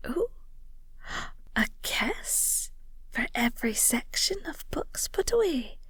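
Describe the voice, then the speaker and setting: Woman acting a breathy, teasing young girlfriend, very unhurried. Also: high-pitched, sing-song, fairly bright tone, no room sound, exaggerated voice. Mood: playful, pouty.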